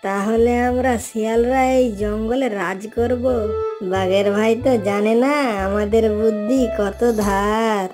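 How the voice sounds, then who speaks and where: A woman's voice: fairly high pitch, steady tone, unhurried, close to an everyday voice. A woman playing a cunning, cocky jungle fox in a Bengali cartoon, talking in cheeky, slangy brags over music. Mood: cocky, excited. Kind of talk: Bengali animal cartoon dubbing.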